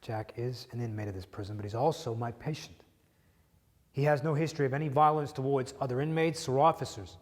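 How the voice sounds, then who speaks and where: A man playing a polite, insistent prison doctor in a dramatic monologue, measured and firmly persuasive. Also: mid-range voice, lightly acted. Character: polite, insistent male prison doctor pleading for his patient. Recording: long echoing hall reverb.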